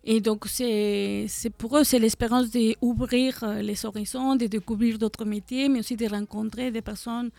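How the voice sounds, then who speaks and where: A woman's voice: on the high side, fairly even intonation, moderate pace, gravelly, few pauses, warm tone, no room sound. A middle-aged woman from Mexico with a Mexican Spanish accent, a guest in a live radio studio interview, talking hesitantly with many fillers. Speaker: guest, association co-founder.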